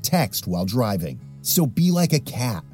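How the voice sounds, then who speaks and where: A man's voice: mid-range voice, big pitch swings, measured pace, a little mellow, soft background music. A man announcer reading a scripted public service message in a light, playful way.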